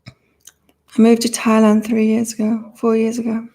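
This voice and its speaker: Middle-aged British-born woman, psychic livestream host, monotone, loose and repetitive. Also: a little high, measured pace. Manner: rambling, thinks aloud, repeats herself. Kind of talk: casual live chat reading viewer comments.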